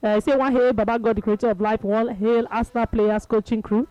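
A woman's voice: fairly high pitch, steady tone, fast, dark tone, no room sound, muffled recording. A woman with a Nigerian accent hosting a sports radio show, chatting casually in English and Pidgin. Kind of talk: casual call-in chat mixing English and Pidgin.

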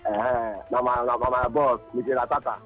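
A man's voice: medium pitch, flat delivery, a little fast. A man with a Nigerian accent calling in to a radio show, rambling and repeating himself in pidgin-laced English. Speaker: caller. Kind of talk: phone-in rant. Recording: tinny phone line with music behind.

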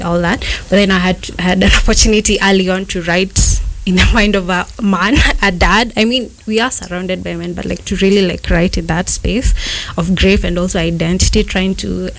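A young woman from Kenya, a filmmaker guest answering interview questions in a rambling, casual way with many fillers and restarts. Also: fairly low pitch.

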